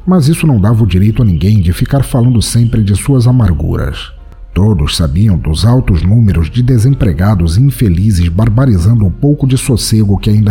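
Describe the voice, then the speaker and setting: A man with a Brazilian accent, hosting and narrating a scripted podcast audio drama in a theatrical, deliberate way. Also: fairly low pitch, slightly rough. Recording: soft music behind.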